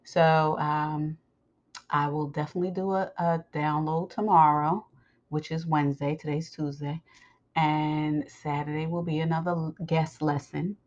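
A woman podcast host with a scratchy voice recovering from a sore throat, speaking in a halting, deliberate, instructive way. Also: deep voice, steady tone, darkish tone, muffled recording. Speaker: podcast host and religious teacher. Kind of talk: informal spoken podcast announcements.

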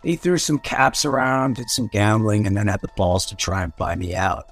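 An actor playing a rough, swaggering ghoul mayor of a seedy town, slangy and irreverent, in a radio drama. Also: male voice, on the low side, normal speed, soft background music, lightly acted. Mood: gruff, irritated. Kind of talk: old-time radio drama acting.

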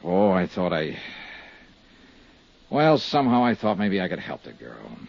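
A man's voice: deep voice, huge pitch swings, measured pace, gravelly, darkish tone, no echo, full character voice. An American man playing a world-weary, candid insurance investigator in a 1950s radio detective drama, speaking in plain, clipped hard-boiled style. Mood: uneasy, subdued. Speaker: lead actor and narrator of a detective serial. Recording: muffled, dry 1950s radio recording.

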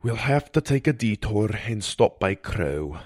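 A man voicing an earnest male mentor and ship healer in an adventure audio story, speaking gravely and emphatically. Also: fairly low pitch, very animated, measured pace, a little mellow, no room sound, studio quality. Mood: disgusted, reluctant.

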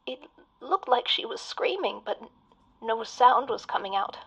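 Creepy caller: hushed, whispery, fairly high voice, muffled sound. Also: female voice, animated, measured pace, slightly rough, full character voice. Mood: haunted. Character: haunted woman caller, eerie and quietly unsettling. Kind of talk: audio drama acting.

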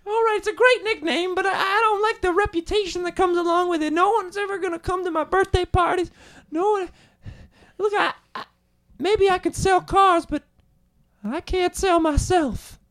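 A man's voice: very high voice, steady tone, moderate pace, fairly bright tone. A man voicing a sulky grown son who whines that nobody wants to be his friend. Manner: sulky, self-pitying whine. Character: whiny grown son of a killer car salesman. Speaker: improv character.

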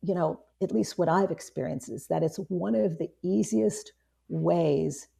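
A woman in her fifties with a hoarse voice, a guest chatting casually in an interview, rambling with many restarts. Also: lowish voice, a little slow, mellow. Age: 50s. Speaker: guest, online marketing strategist.

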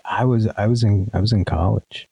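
Man, thirties, very deep, gravelly, husky, nasal, sleepy, hesitant, dry close sound. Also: a little fast, warm tone, no echo. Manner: hesitant, many ums and restarts. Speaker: guest, guitar pedal demo musician. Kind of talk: casual interview chat.

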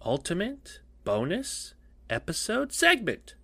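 A man's voice: sits high, wildly swooping pitch, slow pace, vocal fry, pushed and bright, husky, no room sound. A male podcast host with a cough, chatting casually in a rambling, jokey way that keeps repeating itself. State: coughing, losing his voice.

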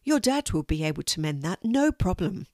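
A woman's voice: lowish voice, very animated, normal speed, a little mellow, no echo, studio quality. A woman reading a caring mum. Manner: caring, practical. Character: caring mother of a young boy.

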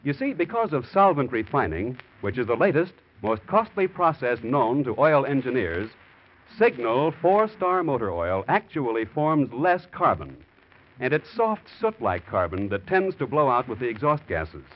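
A man announcing on 1940s radio, delivering a polished, emphatic sponsor pitch. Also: very animated, normal speed, a little hiss or hum. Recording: muffled 1940s radio recording.

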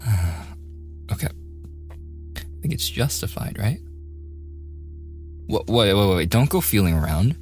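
Man acting as shy young guy in boyfriend asmr, deep husky voice, awkward n smitten, slow and stammery. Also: sing-song, soft background music. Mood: flustered, smitten. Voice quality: soft, breathy, often slipping into whispers. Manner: hesitant, stammering, self-deprecating joking.

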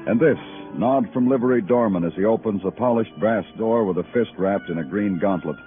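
An actor playing a brooding police detective, narrating a 1950s radio noir drama in solemn, clipped poetic phrases. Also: male voice, fairly low pitch, fairly quick, close to an everyday voice. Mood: somber, brooding. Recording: muffled vintage radio recording with music.

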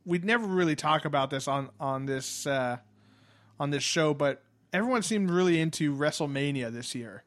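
Casual man with a higher voice. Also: moderate pace, a bit bright. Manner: loose, swearing, laughing. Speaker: podcast co-host. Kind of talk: casual podcast banter.

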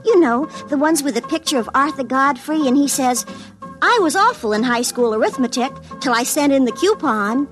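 A woman's voice: high voice, expressive, normal speed, fairly bright tone, soft background music. An actress playing a prim, exasperated young girlfriend who scolds in a literal-minded way, in old radio comedy style. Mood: nagging. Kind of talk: 1950s radio comedy acting.

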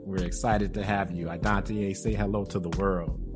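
A man's voice: sits low, little pitch movement, normal speed, music in the background. A man hosting a podcast, friendly and upbeat as he interviews former students. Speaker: podcast host. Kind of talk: casual interview.

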